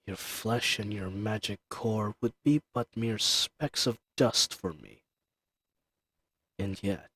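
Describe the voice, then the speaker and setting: A man's voice: on the low side, no echo. A man playing an ancient dragon in roleplay audio, imperious and teasing, dangerous yet tender, speaking to the listener in formal, old-fashioned phrases. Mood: menacing, wondering. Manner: slow, haughty, teasing, formal archaic diction.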